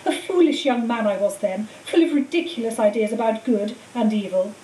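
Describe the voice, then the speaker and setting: A woman voicing a cold, treacherous male wizard teacher in an audiobook, speaking in a calm, menacing, matter-of-fact way. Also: on the high side, brisk, close to an everyday voice. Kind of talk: audiobook character voice. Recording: roomy echo, distant mic, faint hiss.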